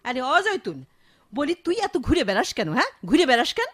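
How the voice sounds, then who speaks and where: A woman's voice: high-pitched, very animated, fairly quick, a bit bright. An actress playing a doting, fretful old grandmother with a rural Bangladeshi accent in a radio drama, exclaiming and scolding dramatically. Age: older. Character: doting, fretful old village grandmother, a widowed mother-in-law. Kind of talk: Bengali radio drama acting.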